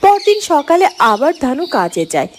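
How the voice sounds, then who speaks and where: A woman's voice: high-pitched, big pitch swings, moderate pace, a little hiss or hum. A woman giving scripted narration for a children's fairy-tale cartoon. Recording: music under the voice.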